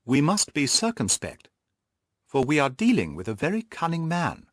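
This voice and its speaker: A man voicing a shrewd, brisk detective who explains his deductions emphatically and gives orders in an audiobook. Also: fairly high pitch, wildly swooping pitch, a bit bright, dry recording, studio quality, voice pushed up, full character voice. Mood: brisk, commanding. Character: shrewd male detective, brisk, commanding, analytical. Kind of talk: audiobook character dialogue.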